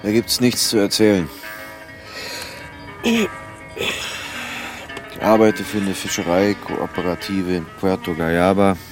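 Low-voiced, weary private detective. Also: male voice, expressive, slow pace, pauses often, over music. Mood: weary, dry. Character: weary, withdrawn male private detective of thirty-five, laconic. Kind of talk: German radio drama acting.

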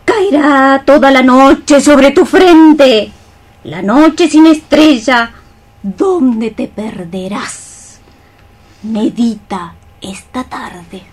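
A woman's voice: high voice, extremely slow, slightly rough, heavily acted. A woman playing a solemn old cockroach sorceress, declaiming poetic lines in a radio play. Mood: ominous warning. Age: older. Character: ailing old female cockroach sorceress, ominous healer and friend. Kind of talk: poetic radio theatre acting.

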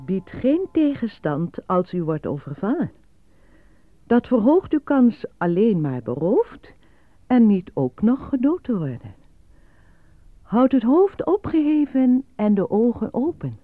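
An actress voicing a formal, matter-of-fact state broadcast announcer reading grim bulletins in a radio play. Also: female voice, fairly high pitch, very animated, takes it slowly, no room sound, full character voice. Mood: matter-of-fact warning. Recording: dry, dull lo-fi radio recording.

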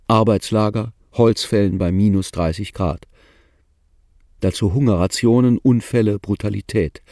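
Male narrator for a radio drama: deep, gravelly and dark, sober and wry, recorded dry in the studio. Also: dry recording. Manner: measured, sober storytelling with dry irony.

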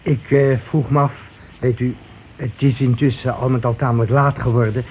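A man's voice: mid-range voice, little pitch movement, normal speed, darkish tone, lightly acted. An actor in a radio play playing an anxious, hesitant visitor looking for his brother, politely stammering and repeating himself. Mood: apologetic, pleading. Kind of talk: naturalistic radio play acting. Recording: noisy old radio play recording.

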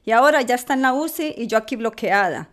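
A woman's voice: on the high side, lively intonation, fast, close to an everyday voice. A woman acting a distraught, worried daughter facing eviction in an amateur radio drama. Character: distraught woman far from her sick mother, facing eviction.

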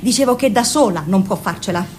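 A woman's voice: medium pitch, brisk, a little mellow, slight background noise, natural voice. An actress playing a pushy, anxious mother of grown children in an Italian radio drama, nagging and insistent. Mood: agitated, insistent.

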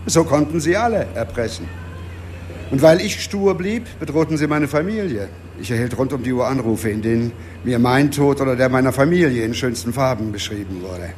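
A man acting an embittered, unyielding investigator in a radio crime drama, speaking deliberately and with emphasis. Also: medium pitch, expressive, a little mellow, slight background noise, close to an everyday voice. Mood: bitter, confiding. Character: embittered, dogged investigator, persecuted but unyielding.

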